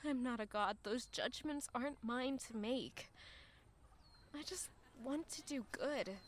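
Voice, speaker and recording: A woman's voice: sits high, slow. An actress playing a guarded, introspective young woman traveler in an audio drama. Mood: brooding. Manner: introspective, polite, hesitant with strangers. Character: guarded, conscience-troubled young female traveler. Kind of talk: audio drama acting with narration.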